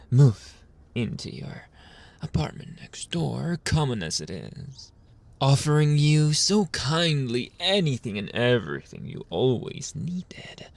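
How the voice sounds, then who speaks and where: Guy doing a creepy possessive mafia boss for asmr, super slow and gravelly, half whispered, fake sweet. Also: mid-range voice, exaggerated voice. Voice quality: breathy, often whispering, creaky. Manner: slow, deliberate, pausing, mock-sweet. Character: menacing, possessive mafia boss posing as kindly neighbor. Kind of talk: ASMR roleplay to the listener.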